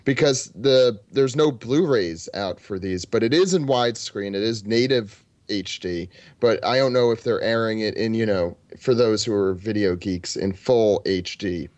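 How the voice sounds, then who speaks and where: A man's voice: fairly low pitch, moderate pace, few pauses, a little mellow, no room sound. A man in his twenties co-hosting a podcast, chatty and full of fillers like "like" and "you know". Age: 20s. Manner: chatty, filler-heavy, hedging. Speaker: podcast co-host. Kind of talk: casual podcast chat.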